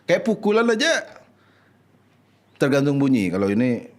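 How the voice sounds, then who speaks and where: A man's voice: medium pitch, huge pitch swings, normal speed. Man with a Madurese accent, chatting. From Madura, Indonesia. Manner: explains words eagerly, laughs often. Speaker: guest comedian. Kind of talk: casual chat.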